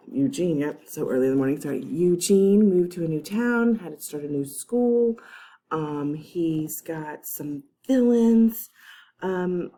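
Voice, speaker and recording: Female teacher, lower voice, warm and mellow, reads a kids' chapter book aloud with friendly asides. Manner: friendly, chatty asides to young listeners. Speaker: first grade teacher.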